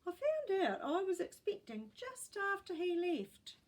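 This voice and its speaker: A woman's voice: extremely high pitch, very animated, unhurried, voice pushed up, full character voice. A woman voicing a proud, wistful elderly great-grandmother who reminisces earnestly in a storybook. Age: older. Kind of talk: storybook character voice.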